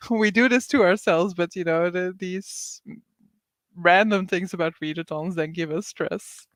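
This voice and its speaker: A woman's voice: lowish voice, measured pace, fairly bright tone, no room sound, studio quality. A woman hosting a casual livestream reading sprint, reading viewer comments aloud, chatting with frequent laughs, likes and ums.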